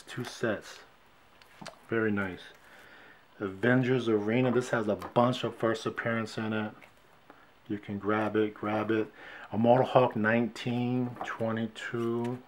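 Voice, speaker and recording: A man with a lowish voice talks slowly and easily, counting off issue numbers one after another. He sounds relaxed and unhurried, recorded by a fixed camera indoors with a faint hiss behind him. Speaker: comic book collector showing his haul. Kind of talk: casual show-and-tell vlog. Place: indoors at a wooden shelf display. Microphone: fixed camera, hands only in frame.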